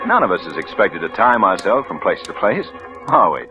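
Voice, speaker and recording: An actor playing a sharp, alert young police detective who questions a suspect in a 1940s radio drama, coolly probing and insinuating. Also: male voice, sits low, big pitch swings, fairly quick, music in the background. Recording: dull old radio broadcast.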